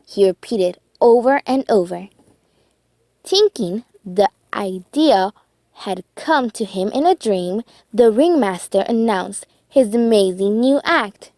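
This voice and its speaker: Animated woman reading a storybook, slowly. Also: medium pitch, dry recording, studio quality. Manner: deliberate phrasing with dramatic emphasis. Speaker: children's story reader. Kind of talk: expressive read-aloud storybook narration.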